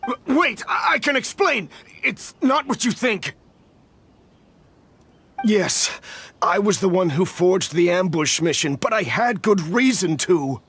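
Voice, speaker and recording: A man's voice: high-pitched, sing-song, slow, long pauses, fairly bright tone, breathy edge, full character voice. An actor playing an anxious middle-aged official and fretful father in a video game, flustered, earnest and explanatory. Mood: pleading.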